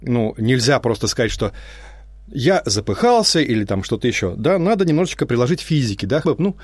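A man's voice: mid-range voice, big pitch swings, a little fast, no room sound. A man directing a radio theatre, giving a reflective spoken introduction to a radio play, explaining at length with hesitant fillers.

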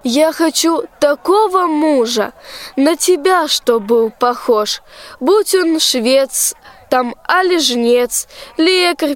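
A young woman playing a wilful, lovesick tsar's daughter in a rhymed radio play, demanding and deliberate in her verse. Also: high voice. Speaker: student actor in a school radio play. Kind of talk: rhymed folk-tale radio play acting.

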